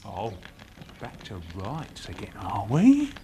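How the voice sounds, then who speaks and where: A man's voice: lowish voice, huge pitch swings, takes it slowly, dark tone, heavily acted. A male actor with a British accent playing a sneering, bullying lout who taunts in slangy tag questions in a radio drama. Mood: menacing, sneering. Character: sneering, bullying, hard-drinking male lout, cruelly mocking. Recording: noisy old radio drama recording.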